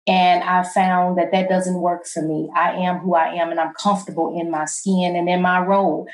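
A middle-aged woman with a soft, low voice, guesting on an interview and talking in a calm, measured way that turns emphatic at times. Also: reverberant. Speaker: college president, guest. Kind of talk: interview conversation.

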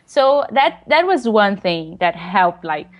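A Brazilian woman speaks English as a second language, with a Brazilian Portuguese accent. She answers questions in a relaxed, halting way, full of fillers and restarts. Her voice sits at an ordinary middle pitch. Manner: hesitant, many fillers, laughs often. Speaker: guest author. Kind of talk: casual interview.

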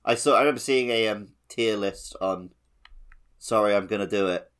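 A man's voice: on the low side, moderate pace, fairly bright tone. A man co-hosting a casual gaming podcast, chatting in a wry, opinionated way. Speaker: podcast co-host.

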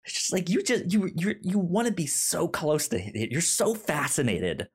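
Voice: medium pitch, wildly swooping pitch, moderate pace, husky. A podcast co-host talking casually and earnestly about films, often stammering and repeating syllables and words. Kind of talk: casual conversational film discussion.

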